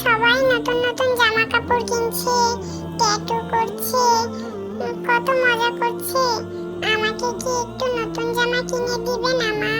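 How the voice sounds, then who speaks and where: A woman's voice: very high voice, little pitch movement, a little slow. A woman voicing a whiny, insistent little boy who pleads with his parents in a cartoon. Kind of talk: scripted cartoon dialogue. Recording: loud music behind the voice.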